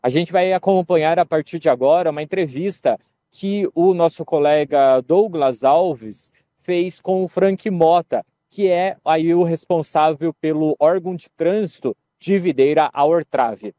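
A man's voice: on the high side, a little slow, warm tone, dry recording. A young man giving a live news report outdoors into a handheld microphone. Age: young adult. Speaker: field reporter. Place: outdoors in a park-like event ground.

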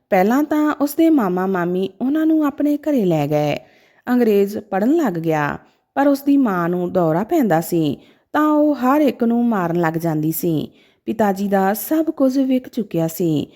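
A woman tells a scripted story in a fairly high, mellow voice. Her delivery is emotive and dramatic, with a very lively rise and fall through each sentence.

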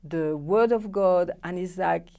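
A woman's voice: fairly low pitch, a little slow, vocal fry, mellow, smooth. A middle-aged woman whose first language is French, hosting a TV studio interview in English with eager, non-native phrasing. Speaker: interview host. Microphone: lapel mic.

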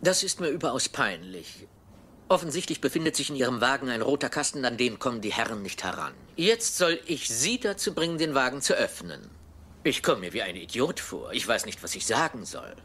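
An actor playing a fussy, self-important assassin doctor, pedantic and politely menacing, in a dubbed film. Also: male voice, mid-range voice, big pitch swings, brisk, a bit bright, a little hiss or hum. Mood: exasperated, embarrassed. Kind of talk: German-dubbed film acting. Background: film score music.

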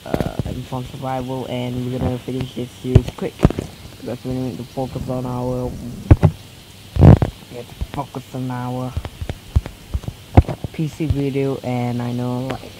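A man's voice: mid-range voice, monotone, measured pace, heavy background noise. Sick-sounding teenage boy gamer. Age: teens, fourteen. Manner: rambling, chatty, many restarts. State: weak voice from recent illness. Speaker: gameplay commentator. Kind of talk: casual let's-play commentary.

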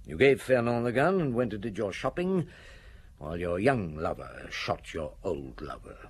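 A man playing a weary, shrewd middle-aged police detective chief in a radio drama, grave and measured. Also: fairly low pitch, very animated, a little hiss or hum. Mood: accusing.

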